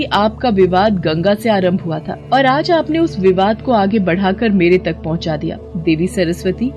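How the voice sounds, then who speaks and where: A woman voicing a proud, regal goddess of wealth in an animated devotional story, speaking with a dignified, haughty manner. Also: medium pitch, natural voice. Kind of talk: storybook voice acting.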